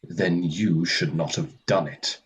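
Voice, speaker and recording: Man acting as Death, low, slow and husky. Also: heavily acted. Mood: stern, irritated. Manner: deadpan, curt, formal, precise. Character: Death, weary, stern, gentlemanly and easily irritated. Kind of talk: radio play acting.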